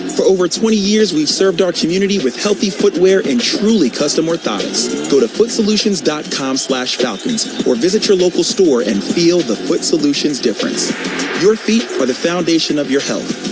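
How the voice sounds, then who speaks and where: Lively, higher-voiced American man pitching on radio. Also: moderate pace. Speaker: business owner and advertiser. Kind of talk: scripted radio advertisement. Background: music.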